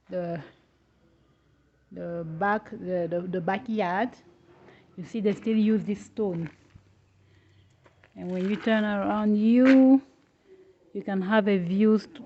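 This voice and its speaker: A woman hosting a casual walking tour of a building site, pausing and restarting as she searches for words in English, which is not her first language. Her voice sits at an ordinary pitch. It is caught on a handheld device with a slightly dull sound and a faint hiss of noise behind it. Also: a little mellow. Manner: halting, with restarts and simple phrasing. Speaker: travel vlogger, host. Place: house under construction, indoors and out.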